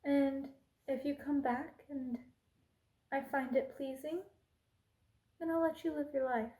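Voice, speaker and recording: A woman playing a haughty, cruel evil queen in an ASMR roleplay, speaking in an imperious, deliberate, menacing way. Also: high-pitched, fairly even intonation, warm tone. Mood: cold disdain. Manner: imperious, slow and deliberate, drops into menacing whispers.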